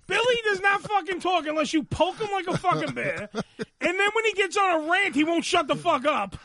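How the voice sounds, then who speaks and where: Loud, profane, high-voiced radio host. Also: male voice, big pitch swings, fairly quick, dry recording. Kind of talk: loud crude studio banter. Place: radio studio.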